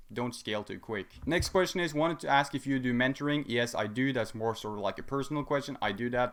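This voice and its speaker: A man's voice: medium pitch, fairly bright tone. Blunt, emphatic eighteen-year-old man. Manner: blunt, emphatic, repeats his points. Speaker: dropshipping channel host answering viewer questions. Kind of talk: casual Q&A vlog.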